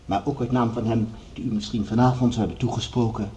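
An actor playing a commanding project leader, speaking formally and with measured authority in a radio drama. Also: male voice, medium pitch, steady tone, dark tone, a little hiss or hum, close to an everyday voice. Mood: formal, rallying. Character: commanding male project leader addressing assembled scientists. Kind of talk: radio drama acting, formal speech-making.

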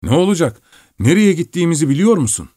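A man's voice: on the high side, big pitch swings, quick pace, dry recording, pitched above the performer's own voice. A cocksure male traveller who scolds and hollers at everyone around him, put on by a male narrator. His voice sits well above the reader's own and cuts through with a hard, carrying edge. The recording is dry and close. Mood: scolding. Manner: loud, scolding, raised voice. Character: bossy, self-assured adult male traveller giving advice.

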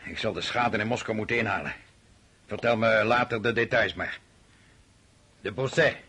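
An actor in a radio play voicing a vain, impatient emperor who speaks in a curt, commanding, self-satisfied way. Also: male voice, lowish voice, normal speed, bright, forceful tone, slightly rough. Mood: irritated. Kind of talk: radio drama acting.

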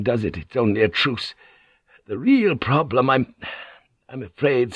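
A man voicing a deferential, nervous male secretary in an audiobook, confiding in a hushed, hesitant, respectful way. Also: mid-range voice, slightly rough, no room sound, close to an everyday voice. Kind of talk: audiobook character dialogue.

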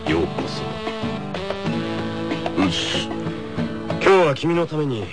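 An actor playing a deadpan, scheming young scientist in a comic anime radio skit, speaking in a measured, dry way. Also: male voice, medium pitch. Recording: loud music behind.

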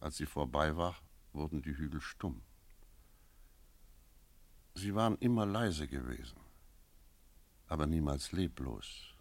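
An actor playing a brooding village innkeeper, narrating his memories in a measured, lyrical, solemn manner for a radio play. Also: a bass voice, expressive, vocal fry, no room sound, full character voice.

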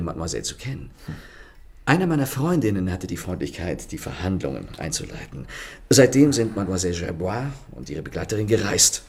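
An actor playing a charming, ironic gentleman thief in a radio play, speaking with smooth, courteous mockery. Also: sits low, heavily acted. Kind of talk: radio drama acting. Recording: studio radio play with faint music.

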